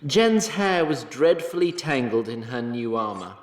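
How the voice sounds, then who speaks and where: A male narrator tells a fantasy story at an unhurried pace, with wide swings in pitch. His delivery is measured and dramatic, sometimes wry, in an echoey, reverberant sound. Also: big pitch swings.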